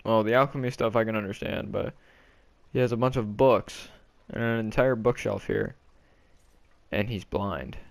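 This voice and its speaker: A man doing casual walkthrough commentary for a game video, talking in a halting, low-key way. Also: vocal fry, no room sound. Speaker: gaming video creator.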